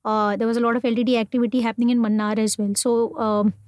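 A woman's voice: on the high side, flat delivery, quick pace, darkish tone, no echo, studio quality. An Indian woman recounting her travels in an interview, chatty, with lots of fillers and restarts. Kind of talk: casual conversational storytelling.

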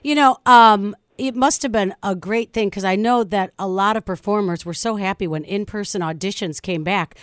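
A woman's voice: mid-range voice, moderate pace, few pauses, no echo, studio quality. A woman radio host running a morning show interview, chatty and enthusiastic. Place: radio studio.

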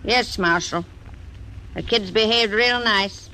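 An actress playing a polite frontier widow, in 1940s radio acting. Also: female voice, medium pitch, takes it slowly, bright, forceful tone, low-quality recording. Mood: gracious. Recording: old radio recording, faint hiss.

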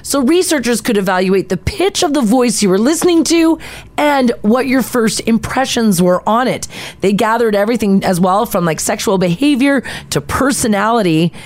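A young adult woman with a lower-pitched voice, co-hosting a casual morning radio show and reading study findings in a conversational way. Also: lively intonation, moderate pace, darkish tone.

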